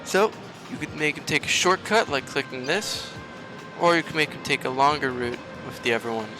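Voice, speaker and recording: A man's voice: medium pitch, moderate pace. Man narrating a game tutorial over music. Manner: explanatory, step-by-step instruction. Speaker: gaming video host.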